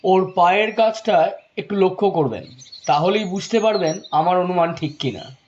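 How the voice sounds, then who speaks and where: A man's voice: high voice, moderate pace, a little mellow, highs cut off, slight background noise, lightly acted. An actor playing a sure, grave young male doctor in an audio drama, explaining in a formal, emphatic way. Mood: grave, certain.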